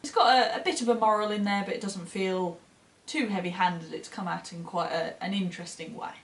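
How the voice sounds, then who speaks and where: A woman reviews books in a relaxed, conversational style with open enthusiasm. Her voice sits at an average pitch. The recording is roomy, with noticeable echo. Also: fairly quick, slight background noise. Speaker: book reviewer co-hosting short fiction recommendations. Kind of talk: casual enthusiastic book chat.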